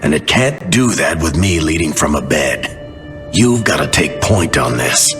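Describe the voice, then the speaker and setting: An actor playing a wounded veteran team leader who talks wryly and coaxingly, like a mentor, in a video game cutscene. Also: male voice, rumbling low, big pitch swings, a little slow, fairly bright tone, big-room sound, soft background music, full character voice.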